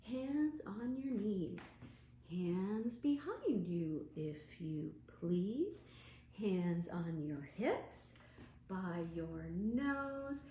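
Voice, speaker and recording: A woman children's librarian reading aloud to young children in a deliberate, expressive, sing-song way. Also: on the high side, a little hiss or hum. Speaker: children's librarian hosting storytime. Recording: roomy, echoing room sound.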